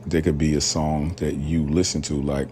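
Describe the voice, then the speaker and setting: Super deep voiced dude hosting his own podcast, laid back rambling with slang, faint noise behind. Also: level tone, moderate pace, warm tone. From rural Alabama, US. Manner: loose and conversational, with many fillers and slang. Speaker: podcast host. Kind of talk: casual solo podcast talk.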